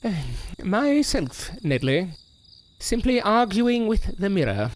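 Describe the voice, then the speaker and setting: A man playing a bitter, animated washed-up stage showman. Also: sits high, slow, heavily acted. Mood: irritated. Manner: theatrical, bitter. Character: bitter washed-up male stage entertainer, foul-mouthed and menacing. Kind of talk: audio drama acting.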